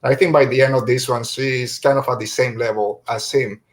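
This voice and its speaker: A middle-aged man hosts a podcast in a casual, conversational way. He is a native Spanish speaker, with a medium-pitched voice, talking through a review. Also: steady tone, moderate pace. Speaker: podcast host.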